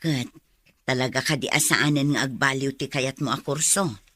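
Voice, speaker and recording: A woman's voice: rumbling low, normal speed, fairly bright tone. An actress playing a caring, concerned middle-aged mother in a radio drama, with a Filipino accent. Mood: tender concern. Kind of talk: Ilocano radio drama acting.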